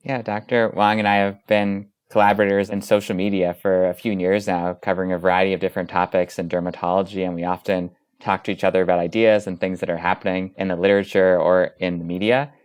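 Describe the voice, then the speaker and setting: Male medical expert, interview guest, deep voice, explanatory delivery. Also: flat delivery, fast talker, hardly any pauses, clear, resonant tone, no room sound.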